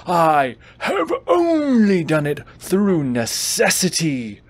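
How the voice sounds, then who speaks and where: A man's voice: fairly high pitch, pitched above the performer's own voice, exaggerated voice. A man voicing a devoted, anxious fairy tale husband in an earnest, theatrical storybook manner. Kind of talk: bedtime storybook character voice.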